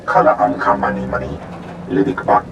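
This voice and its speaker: An actor voicing an alien creature in a video game, with choppy, guttural syllables in an invented tongue. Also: male voice, extremely low pitch, very animated, fairly quick, vocal fry, heavily acted. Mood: insistent. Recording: rainy, noisy outdoor ambience.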